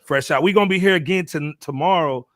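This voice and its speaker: A man's voice: fairly high pitch, fast, a bit bright, no room sound. Dry-sounding American man hosting a podcast. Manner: slangy, bantering. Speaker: podcast host. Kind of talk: casual chat, signing off a live show.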